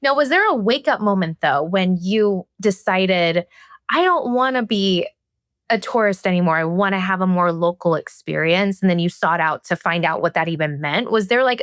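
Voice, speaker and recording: A woman's voice: medium pitch, animated, moderate pace, fairly bright tone, no room sound, studio quality. A woman, a podcast host speaking in a casual, conversational interviewing style.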